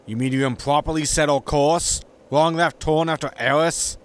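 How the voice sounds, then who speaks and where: An actor playing a grumpy, money-minded spaceship captain, impatient and sardonic, in a sci-fi comedy audio drama. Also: male voice, on the high side, steady tone, moderate pace, clean tone, lightly acted. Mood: puzzled, impatient.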